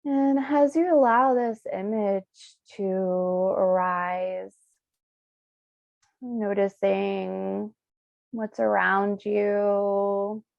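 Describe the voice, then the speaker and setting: A woman leading a guided meditation as a Reiki practitioner, speaking soothingly in short, unhurried phrases with pauses. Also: mid-range voice, steady tone, gravelly, dry recording, dull audio.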